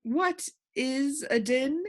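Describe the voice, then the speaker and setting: A woman's voice: high voice, extremely slow, pitched above the performer's own voice. A woman voicing a curious, sympathetic young boy in a storybook, asking simple questions.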